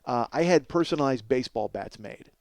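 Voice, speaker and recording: A middle-aged man hosting a comedy podcast, telling stories in a casual, profane, self-deprecating ramble and exaggerating excitably for comic effect. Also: medium pitch, fairly quick, vocal fry, dry recording. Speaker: podcast host, comedic storyteller.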